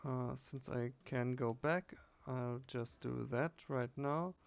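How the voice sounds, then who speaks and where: Male gamer reading text aloud casually, unhurried creaky delivery, slightly muffled home recording. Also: medium pitch, faint music behind. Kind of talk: casual game playthrough with read-aloud dialogue.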